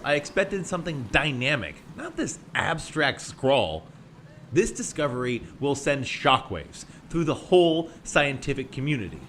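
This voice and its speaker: A man's voice: on the high side, a bit bright, a little hiss or hum. A man playing an arrogant, short-tempered scientist in an audio drama, sarcastic and belittling in manner.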